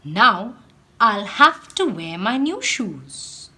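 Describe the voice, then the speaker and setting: A woman voicing a gentle, sentimental man in a children's storybook, with a wistful, tender manner. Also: medium pitch, big pitch swings, extremely slow, slightly rough, heavily acted. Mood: resigned. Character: gentle, sentimental man fond of his old shoes.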